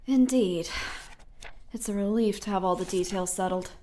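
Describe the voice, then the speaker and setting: A woman playing a composed, haughty princess ruler in a theatrical comic dub, formal and sharp-tongued. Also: medium pitch, normal speed, noisy background, close to an everyday voice. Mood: businesslike. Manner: formal, biting delivery.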